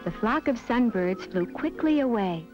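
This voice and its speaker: High-voiced woman narrating a children's fairy tale. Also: expressive, normal speed. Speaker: storyteller narrator. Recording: dull lo-fi soundtrack with music behind. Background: background music.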